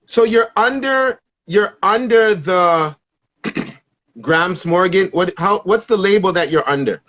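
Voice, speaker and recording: A man's voice: sits high, takes it slowly, a bit bright, dry recording. A man hosting an interview show, asking enthusiastic, rambling questions. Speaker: show host. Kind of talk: casual interview. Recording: dull dry sound.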